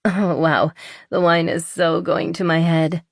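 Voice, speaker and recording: A woman's voice: fairly low pitch, flat delivery, a little slow, vocal fry, dry recording, studio quality. A woman voicing a playful, chatty, impulsive young woman who is a little tipsy, in a romance audiobook.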